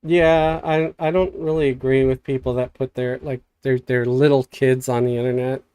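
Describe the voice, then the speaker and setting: A man hosting a live stream, chatting casually in a soft, calm way. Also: mid-range voice, moderate pace, smooth, no echo. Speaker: streamer host. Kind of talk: casual chat.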